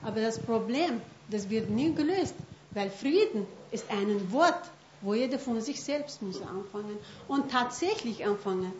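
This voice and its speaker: A woman's voice: on the high side, expressive, a little slow, roomy sound, dull audio, slight background noise. A woman in the audience making an insistent point in non-native, broken German. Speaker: audience member. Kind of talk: comment from the audience.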